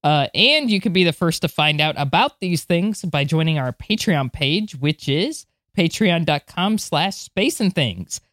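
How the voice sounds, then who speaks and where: A woman's voice: deep voice, animated, a little slow, few pauses, a bit bright, no echo, studio quality. A woman co-hosting a podcast, chatting casually and reacting with enthusiastic exclamations. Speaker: podcast co-host.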